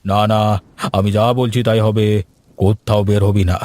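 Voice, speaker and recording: A man's voice: lowish voice, level tone, normal speed, dark tone, no echo, natural voice. A man plays a strict middle-aged father in a cartoon, firm and scolding as he lays down the rules. The voice is dry and close, with no room sound. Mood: stern.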